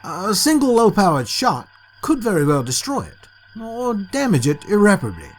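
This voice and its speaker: A woman's voice: medium pitch, wildly swooping pitch, moderate pace, a little mellow, soft background music. A woman playing a businesslike starship weapons officer in a sci-fi audio drama, giving technical, matter-of-fact reports.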